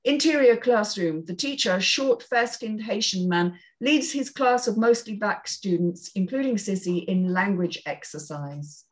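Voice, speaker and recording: A woman's voice: mid-range voice, animated, unhurried, few pauses. A woman narrating stage directions at a screenplay table read, in a scripted, read-aloud style.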